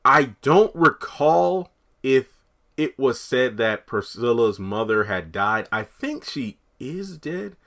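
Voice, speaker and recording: A man with a raspy, just-woken voice rambling casually through his TV commentary and thinking aloud. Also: medium pitch, big pitch swings, takes it slowly, a bit bright. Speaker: TV commentary YouTuber.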